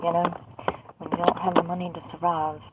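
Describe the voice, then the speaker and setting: A woman's voice: on the low side, little pitch movement, brisk, heavy background noise. Stuffy-nosed woman chatting from her truck. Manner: rambling, blunt, swears casually. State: stuffy nose. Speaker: livestream host. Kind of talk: casual livestream chat.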